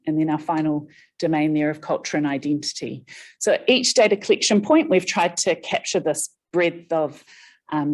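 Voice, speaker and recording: A woman presenting a research study at a workshop, steady and explanatory with occasional ums. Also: low voice. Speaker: research study team member.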